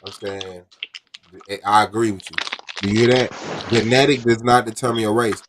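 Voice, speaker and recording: A man's voice: on the low side, little pitch movement, normal speed, background noise. A man hosting a casual debate podcast, arguing his point insistently in slangy talk.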